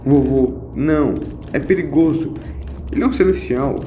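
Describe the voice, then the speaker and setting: Young granddaughter for audio drama, played by a man, anxious, questioning, raised pitch, boxy sound. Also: normal speed, a little hiss or hum, close to an everyday voice. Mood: desperate. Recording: boxy, echoey lo-fi with music.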